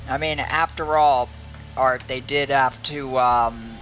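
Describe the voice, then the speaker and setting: A man doing casual live gameplay commentary, thinking aloud in a halting, rambling way over game audio. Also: medium pitch, steady tone, a bit bright, muffled recording. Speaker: game streamer. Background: game music and sounds.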